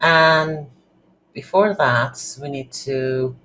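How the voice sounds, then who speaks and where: A tutorial instructor thinking aloud in a halting, unscripted way while demonstrating game development on a computer. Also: mid-range voice, pushed and bright. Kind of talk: unscripted screen-recorded software tutorial. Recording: background hiss, keyboard typing noise.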